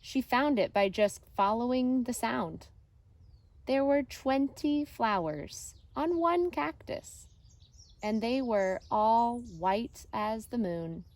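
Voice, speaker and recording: A woman reading a children's picture book aloud like an elementary teacher, deliberate and expressive with pauses. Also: fairly high pitch, no echo.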